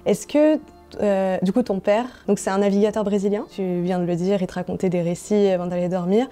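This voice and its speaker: A woman's voice: mid-range voice, fairly even intonation, a little fast, warm tone, faint music behind. A woman interviewer talking in a casual, informal and attentive way. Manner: informal, attentive with short backchannels.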